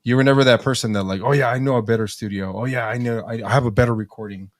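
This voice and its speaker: A man talking casually as a podcast guest, slangy, with halting, informal phrasing. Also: mid-range voice, fairly even intonation, no room sound, clean studio sound. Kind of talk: casual chat.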